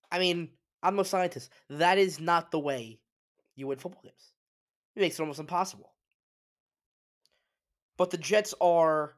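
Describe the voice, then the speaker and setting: A man hosting a solo sports talk show, congested and sniffly, giving emphatic opinions with frequent restarts. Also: on the high side. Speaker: sports podcast host.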